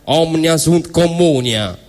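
Roman man, higher-pitched, colloquial live stage reader of comic dialogue, reverberant hall. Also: animated, slow, darkish tone. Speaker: stage performer. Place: indoor stage at a live event.

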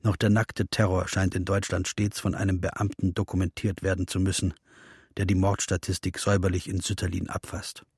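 A man playing a sober, sardonic chronicler, narrating in a measured, precise documentary style for a radio drama. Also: low-pitched, little pitch movement, husky, dry recording, clean studio sound. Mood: dryly ironic.

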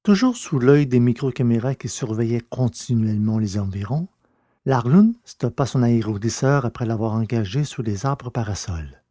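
A man narrating a scripted adventure story in a measured, literary way. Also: mid-range voice, lively intonation, dark tone, no echo, clean studio sound.

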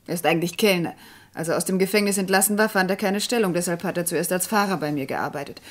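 An actress playing a shrewd, self-assured businesswoman with secrets, brisk and composed, in a German radio crime play. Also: on the low side, natural voice. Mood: polite, guarded. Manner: brisk, composed, matter-of-fact.

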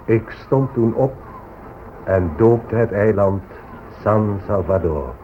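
An actor playing a proud, pious explorer admiral giving a stately, formal report in a radio drama. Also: male voice, on the low side, expressive, slow. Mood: solemn, proud. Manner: stately, declamatory. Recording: phone-like old radio recording, faint noise.

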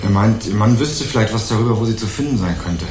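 A man's voice: fairly low pitch, fast, echoey room, background noise, natural voice. An actor playing a young student turned amateur investigator, courteous and hesitant but insistent in his questions, in a German crime radio play. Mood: polite, careful. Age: young adult.

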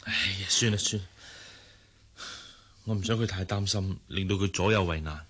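Sighing, husky worried father. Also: deep voice, moderate pace, fairly bright tone. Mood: weary, exasperated. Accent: Hong Kong Cantonese. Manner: sighing, exasperated. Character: worried father and boss, weary and exasperated. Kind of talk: Cantonese radio drama acting.

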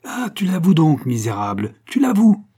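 A man's voice: on the high side, animated, moderate pace, mellow, husky, pitched above the performer's own voice. A man voicing a furious young nobleman bent on avenging his father, with strident, accusing outbursts in an audiobook. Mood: enraged. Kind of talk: audiobook dialogue acting.

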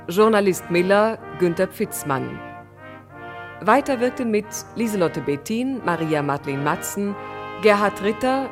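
A woman announcer reading scripted credits in a formal, measured, carefully enunciated way. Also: mid-range voice. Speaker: radio announcer.

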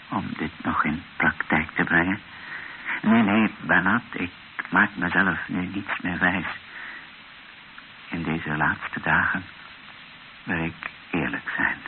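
Een man die in een hoorspel een broze, plechtige bisschop speelt die nadrukkelijk en haperend zijn laatste boodschap uitspreekt. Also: deep voice. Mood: earnest, resigned. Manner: halting, solemn, emphatic repetitions. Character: frail, solemn dying Belgian bishop giving his last testament. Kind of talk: radio play acting. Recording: muffled, hissy old radio recording.

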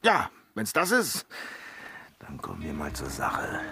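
An actor playing a brash, boastful manservant in a comic period radio play, flirting with theatrical swagger. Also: male voice, deep voice, huge pitch swings, slow pace, over music, exaggerated voice. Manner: swaggering, theatrical, with evasive hesitations. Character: brash, boastful male servant, flirting evasively.

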